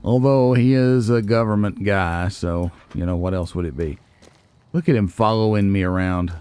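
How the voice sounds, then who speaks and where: A man's voice: fairly low pitch, normal speed, dark tone, clear, resonant tone. A man giving casual let's play commentary on a video game, musing to himself and poking fun at himself. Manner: musing to himself, self-deprecating. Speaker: gaming video host, let's player.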